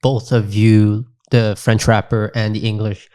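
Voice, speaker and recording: A man's voice: on the low side, level tone, unhurried, dark tone, clear, resonant tone, no room sound, studio quality. A man hosting a podcast, asking long, winding questions with several restarts. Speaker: podcast host. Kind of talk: casual podcast conversation.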